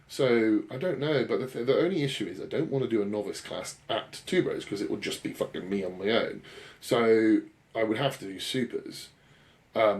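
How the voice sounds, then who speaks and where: Male, 30s, British. Lower-pitched voice, loose rambling podcast chat, blokey and self-deprecating. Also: a little mellow. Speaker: podcast co-host and bodybuilding coach.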